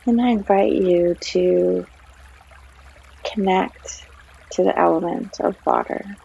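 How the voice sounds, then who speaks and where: A woman leading a guided meditation as a yoga guide, speaking gently with long pauses, in a breathy, creaky voice. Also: on the low side. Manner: gentle, unhurried, long pauses between phrases. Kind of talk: guided meditation, soothing narration. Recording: slightly dull sound, trickling stream water behind. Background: flowing stream water.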